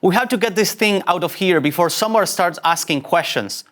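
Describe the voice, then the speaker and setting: An actor playing a nervous young male ensign who bluffs as a bold captain in a comic sci-fi table read, halting and self-doubting. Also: mid-range voice, fairly bright tone, natural voice. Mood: flustered authority.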